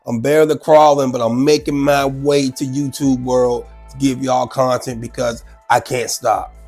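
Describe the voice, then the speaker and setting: A man's voice: medium pitch, normal speed, soft background music. A congested, sick man hosting his own show, chatting loosely and animatedly about a news clip. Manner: chatty, animated, repeats himself. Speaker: show host. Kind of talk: casual commentary on a news clip.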